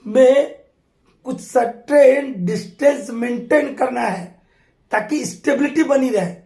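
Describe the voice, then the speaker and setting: Hoarse man teaching, echoey room. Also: very high-pitched, dark tone. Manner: conversational teacher, addresses students fondly, repeats key points. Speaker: mining exam tutor. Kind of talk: explaining exam questions in an online class.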